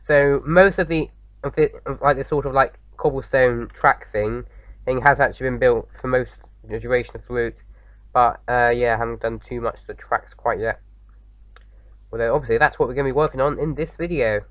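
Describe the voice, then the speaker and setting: A man with a slight sore throat, slightly croaky, chatting casually as he hosts a game playthrough, rambling with lots of fillers. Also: mid-range voice, fairly even intonation, brisk, dry recording, lo-fi sound. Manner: rambling, many fillers and restarts. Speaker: gaming video host. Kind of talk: casual let's-play commentary.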